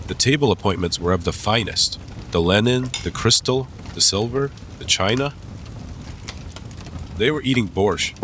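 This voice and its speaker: A man's voice: low-pitched, fairly bright tone, a little hiss or hum. A man narrating a dramatized classic story as an audiobook, reading scripted literary prose.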